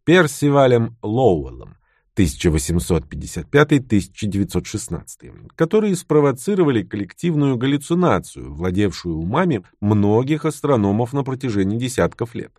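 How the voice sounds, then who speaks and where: A man reading a scripted self-help text, with lively, acted-out delivery of the quoted retorts. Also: medium pitch, normal speed, dry recording, studio quality. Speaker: narrator. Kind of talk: scripted book narration.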